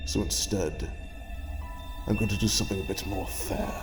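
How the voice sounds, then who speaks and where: An actor playing a stern, scornful alien time-traveller who lectures in a measured way in an audio drama. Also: male voice, sits low, music in the background. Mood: grave, resigned. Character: cold, stern alien time-traveller, adult man, wearily righteous.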